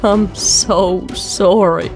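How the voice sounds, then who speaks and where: A woman's voice: mid-range voice, fairly even intonation, very slow, vocal fry, a little mellow, soft background music, low-quality recording. A woman voicing a brave, frightened young farm pony mare in a dramatic story reading. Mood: grieving. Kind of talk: dramatic fan fiction reading.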